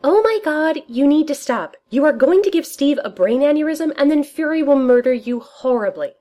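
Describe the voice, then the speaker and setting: A woman voicing an outspoken young woman, loud, high and exasperated. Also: animated, a little fast.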